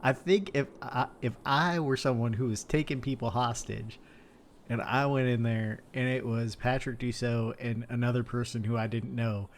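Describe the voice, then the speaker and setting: A man's voice: medium pitch, fairly even intonation, normal speed, a little hiss or hum, natural voice. A man playing an awkward, easygoing guy in a roleplay game, who talks in hesitant, rambling loops and repeats himself. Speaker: roleplay character. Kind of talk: improvised roleplay chat.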